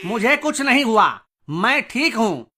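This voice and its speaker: A man's voice: very high voice, animated, measured pace, sharp tone, full character voice. A man voicing an exasperated, scolding male schoolteacher in a comedy cartoon. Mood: stern, baffled. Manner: stern, scolding. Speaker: teacher. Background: music.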